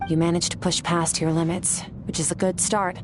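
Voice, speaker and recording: A woman playing a calm, firm mentor. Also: fairly low pitch, moderate pace, over music, close to an everyday voice. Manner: calm, instructive. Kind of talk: game voice acting.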